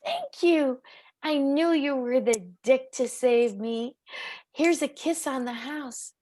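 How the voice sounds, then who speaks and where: High, swooping noir femme. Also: female voice, very animated, slow, a little mellow, heavily acted. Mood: flirty, grateful. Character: sultry, melodramatic nightclub dame, flirtatious damsel in distress. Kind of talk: campy 1940s radio noir acting. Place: live community theatre stage performance.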